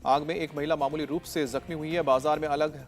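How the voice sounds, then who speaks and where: A man with an Indian accent working as a news anchor, reading scripted, rapid-fire headlines. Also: fairly high pitch, fairly even intonation, faint music behind.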